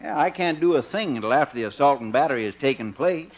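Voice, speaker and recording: A folksy, unhelpful small-town marshal in a 1950s radio comedy. He drawls with amused laziness and a bouncy pitch, on a dull vintage recording. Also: lively intonation, fast. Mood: lazily unhelpful. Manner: drawling, folksy, chuckling at own jokes.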